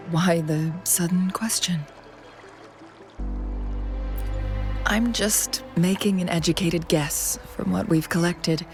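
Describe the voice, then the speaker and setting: Casting: a shrewd, subtly playful woman strategist in a game, slightly low register, very measured delivery. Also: fairly even intonation. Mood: lightly amused. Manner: measured, articulate, deliberate. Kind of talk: scripted video game dialogue. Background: game music.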